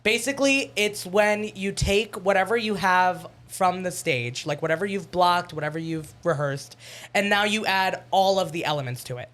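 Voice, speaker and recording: A musical theatre actor chatting casually on a podcast, with a voice they call shrill, talking with lots of fillers and animated storytelling. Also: moderate pace. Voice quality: self-described shrill voice.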